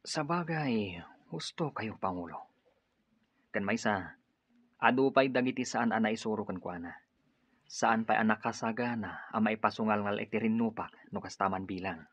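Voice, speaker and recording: A man's voice: fairly low pitch, moderate pace, a bit bright, breathy edge, dry recording. A man playing an earnest young rebel in an Ilocano radio drama. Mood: respectful. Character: earnest young male rebel, shy yet commanding. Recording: dull, band-limited radio drama audio.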